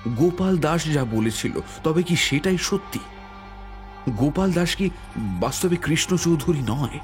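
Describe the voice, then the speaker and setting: A man narrating a detective audio story in the first person as a police inspector, with measured, deliberate dramatic phrasing. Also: a little high, lively intonation. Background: background music.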